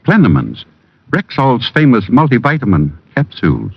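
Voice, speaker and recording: An actor playing an earnest, reassuring American druggist, explaining politely in a vintage radio commercial. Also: male voice, medium pitch, huge pitch swings, moderate pace, gravelly, breathy edge, heavily acted. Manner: instructive, polite, emphatic. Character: earnest, reassuring male family druggist pitchman. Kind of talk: 1940s-50s radio commercial. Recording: dull vintage radio recording.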